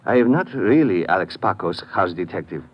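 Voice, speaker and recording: An actor playing a cocky, menacing hotel house detective, stilted and self-important, in an old-time radio drama. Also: male voice, low-pitched, expressive, a little fast, dull audio. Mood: taunting.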